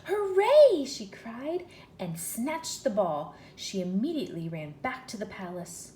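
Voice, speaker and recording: A woman teacher reading a children's story aloud in an expressive, deliberate, questioning way. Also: mid-range voice. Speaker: first-grade teacher. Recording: echoey room with faint noise.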